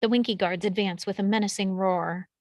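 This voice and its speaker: A woman's voice: mid-range voice, steady tone, brisk, a little mellow, no room sound, clean studio sound. A woman reading out screenplay action descriptions as scripted narration. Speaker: stage directions reader.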